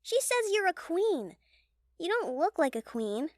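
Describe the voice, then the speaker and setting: A very high, bouncy child's voice, acted by a woman in an audio drama. The kid is imaginative, earnest and innocently blunt. The sound is dry. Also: very animated, a little slow, dry recording, full character voice. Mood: earnest, curious. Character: candid, imaginative young child of a storytelling mother.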